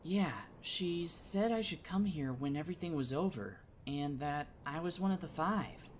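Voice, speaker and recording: A woman's voice: low-pitched, expressive, normal speed, a little hiss or hum. A woman voicing a shy, lonely, self-doubting teenage boy in an anime-style game fan dub. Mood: hesitant. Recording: music behind the voice.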